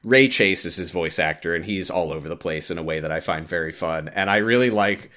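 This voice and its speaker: A man's voice: sits low, brisk, sharp tone. A man hosting a podcast, casually recapping a video game in a wry, sweary way. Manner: wry, swears casually, acts out quoted lines. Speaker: podcast host.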